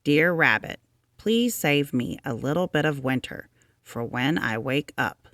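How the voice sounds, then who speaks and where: A small, sleepy hedgehog chap, voiced by a woman reading a storybook. He explains things patiently and a little bossily, with emphatic, lively intonation in a dry, close recording. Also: extremely low pitch, a little slow, no room sound, full character voice. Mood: instructing. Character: small male hedgehog, sleepy, patient, gently bossy friend.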